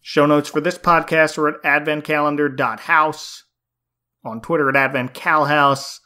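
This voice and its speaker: A man's voice: on the high side, fairly even intonation, normal speed, pushed and bright. A man hosting a podcast in a casual, conversational style. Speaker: podcast host.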